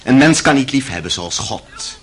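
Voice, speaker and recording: A man playing a bitter, tormented intellectual gentleman in a radio play, with a biting, commanding and sardonic manner. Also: mid-range voice, lively intonation, normal speed, slightly rough. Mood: sardonic, weary. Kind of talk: Dutch radio play acting.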